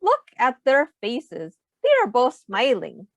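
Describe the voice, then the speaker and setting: A woman reading a children's storybook aloud in an expressive, simple teacher's manner, pausing to put questions to young listeners. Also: fairly high pitch, no room sound.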